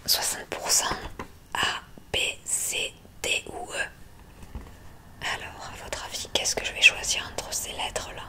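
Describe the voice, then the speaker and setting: A woman whispers through an ASMR roleplay as the one running the tests. Her voice is fully unvoiced and breathy, close to the microphone. She gives soft instructions at a slow, unhurried pace. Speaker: ASMR roleplay host, examiner giving relaxation tests.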